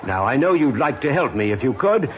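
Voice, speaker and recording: A man playing a kindly Scotland Yard inspector in an old radio drama, patient and gently probing. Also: mid-range voice, sing-song, a little fast, close to an everyday voice. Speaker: police inspector. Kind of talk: old time radio acting. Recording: muffled old radio recording.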